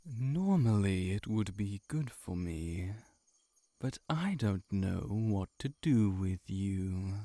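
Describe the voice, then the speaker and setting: A man voicing a cynical, seductive heart-eating shapeshifter monster in an intimate audio roleplay, sardonic and measured, sometimes near a whisper. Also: sits low, lively intonation, dark tone, no echo, exaggerated voice. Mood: scornful.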